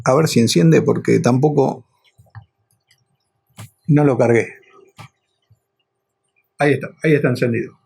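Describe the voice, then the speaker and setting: A man's voice: mid-range voice, measured pace, gravelly, pauses often, darkish tone, breathy edge. A man with a Rioplatense Argentine accent, talking casually and rambling a little as he explains things as a motorcycle vlogger.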